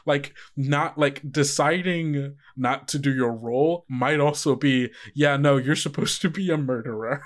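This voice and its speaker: A man's voice: fairly high pitch, a little slow. A man casually improvising as game master of a podcast tabletop game, thinking aloud and often putting questions to his player.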